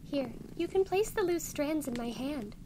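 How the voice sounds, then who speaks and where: A woman voicing an encouraging young witch friend in a soft, patient ASMR roleplay, with fizzing, bubbling effects. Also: sits high, animated, normal speed, noisy background. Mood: helpful, friendly. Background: fizzing, bubbling sound effects.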